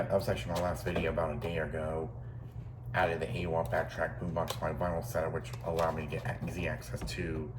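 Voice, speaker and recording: A man in his thirties giving a casual, unscripted show-and-tell in a rambling, repetitive, matter-of-fact way. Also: low-pitched. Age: 30s. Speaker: vlogger showing thrift store finds. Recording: clear steady background noise, amateur recording.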